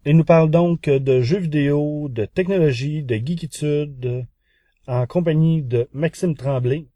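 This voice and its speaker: A man's voice: on the high side, mellow, no echo, lo-fi sound. A man with a Quebec French accent presenting a semi-prepared review column as a podcast columnist.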